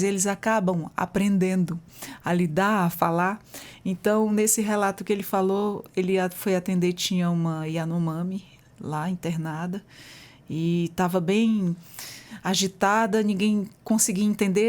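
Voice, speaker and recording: Chatty, enthusiastic woman hosting an interview. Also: medium pitch. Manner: chatty and enthusiastic, with warm exclamations. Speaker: interview host. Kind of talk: conversational interview.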